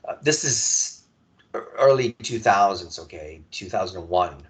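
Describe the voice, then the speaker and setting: A man in his forties from Serbia, a podcast guest telling his story in a relaxed conversational way, stumbling and restarting often. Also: deep voice, rough recording. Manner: halting, many restarts and repeated words.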